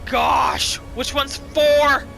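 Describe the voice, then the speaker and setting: A woman hosting a gaming channel, giving expressive roleplay commentary and reacting aloud as she plays. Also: high voice, extremely slow, creaky, shouting, faint music behind. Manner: expressive, reacts aloud, talks to the game characters. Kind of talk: video game roleplay commentary.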